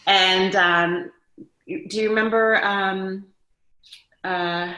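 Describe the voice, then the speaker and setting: Middle-aged female interview guest, informal and rambling, forceful bright tone, roomy echoing sound. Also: medium pitch, steady tone, extremely slow. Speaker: guest, daughter of an interviewed actor. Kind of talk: casual chat, reminiscing.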